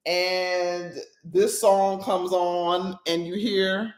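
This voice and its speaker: A woman's voice: on the low side, level tone, drawn-out words. Woman, podcast host, casual monologue, emphatic, playful, echoey room. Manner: emphatic, keeps checking in with listeners; playful, laughs at her own jokes.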